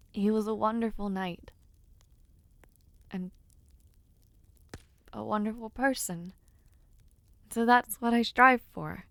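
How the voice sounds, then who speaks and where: A young woman voicing a lively, affectionate young noblewoman who hopes to become a knight, speaking formally with soft hesitant fillers. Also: medium pitch, gravelly, dry recording. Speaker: audio roleplay performer. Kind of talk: intimate audio roleplay.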